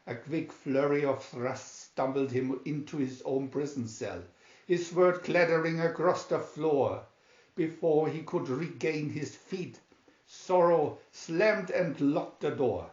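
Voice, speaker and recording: Slow, theatrical male storybook narrator. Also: on the high side, a little mellow. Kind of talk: dramatic scripted narration. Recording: dull, roomy home recording.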